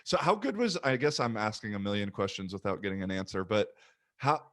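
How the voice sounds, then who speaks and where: A young man hosting a podcast, chatting casually and animatedly, with quick asides and little voice impressions in his stories. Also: fairly low pitch, expressive, a bit bright. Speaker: podcast host. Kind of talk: casual conversation.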